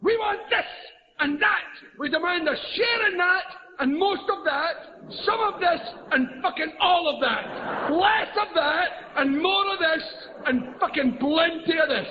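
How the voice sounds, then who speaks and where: A man ranting out a profane, shouted list of demands. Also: extremely high pitch, expressive, a little slow. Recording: dull, noisy, heavily reverberant played clip.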